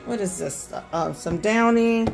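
A woman's voice: medium pitch, creaky, soft background music. Chatty woman hosting a grocery haul. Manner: chatty, many ums, talks fast. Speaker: host of a budget vlog. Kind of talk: casual grocery haul vlog. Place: indoors at a home kitchen counter. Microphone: handheld phone filming items.

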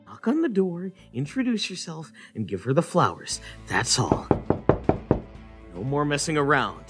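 Man voicing a flustered, excitable young cartoon hedgehog. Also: on the high side, measured pace, soft background music. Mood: anxious, shy. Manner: flustered, jittery, overexcited. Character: shy, nervous young male cartoon hedgehog fanboy. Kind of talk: comic dub voice acting.

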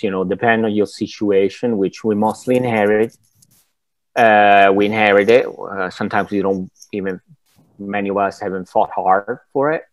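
An Italian-accented man in his forties answering interview questions from home, his lowish voice picked up dry and close by earphone mics. He pauses and restarts often, feeling his way toward each thought. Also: no echo, poor audio. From Italy. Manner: thoughtful, halting, searches for words with many restarts. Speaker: film director, masterclass guest. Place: home room, indoors. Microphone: wired earphones with mic on a video call.

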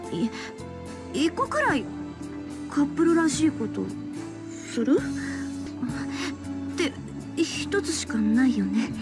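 High-voiced, sweet teenage girl. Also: exaggerated voice. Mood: shy, affectionate. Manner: intimate, girlish. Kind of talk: Japanese game romance acting.

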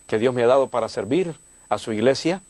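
Older man from cuba talking church stuff on tv, warm and thankful, dry studio mic. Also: medium pitch, lively intonation, a little fast, dry recording. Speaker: church leader. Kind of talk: studio interview. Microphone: lapel.

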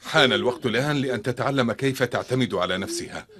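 A man's voice: mid-range voice, quick pace, a bit bright, natural voice. An actor playing a firm, protective middle-aged father in a cartoon dub, speaking earnestly and formally. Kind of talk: dubbed cartoon acting in formal speech.

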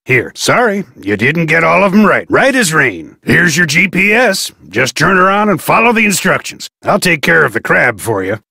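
A blunt, folksy fisherman from a mystery game. His voice is gravelly and a little husky, with a bright edge. He talks dismissively, with a lively swing in pitch. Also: moderate pace, hardly any pauses, dry recording, clean studio sound, full character voice. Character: gruff fisherman and harbor master hopeful, blunt. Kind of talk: video game voice acting.

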